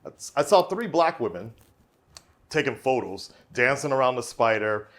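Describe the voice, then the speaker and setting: A man's voice: mid-range voice, big pitch swings, normal speed, fairly bright tone. Male podcast host, playful and hyped, voice swings up and down a lot. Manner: joking, hyped, teasing. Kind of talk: casual interview chat.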